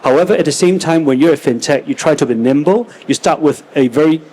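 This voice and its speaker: Higher-pitched man from Hong Kong. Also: quick pace, a little mellow, a little hiss or hum, poor audio. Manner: hesitant, many restarts and fillers. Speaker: fintech panelist. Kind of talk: panel debate. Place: conference stage.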